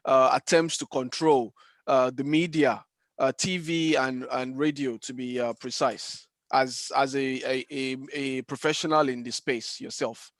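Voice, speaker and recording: A man hosting a podcast interview, putting questions in a conversational, deliberate way. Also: mid-range voice, fairly even intonation, no echo.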